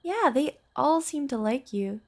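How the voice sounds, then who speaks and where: Woman playing young girlfriend in audio roleplay, slow unhurried delivery, creaky edges, tender, playful, chatty. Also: sits high, expressive. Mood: relieved, warm. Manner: soft, tender, chatty with teasing asides. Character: affectionate young girlfriend raised by ghosts, fond, playful. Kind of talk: intimate audio roleplay acting.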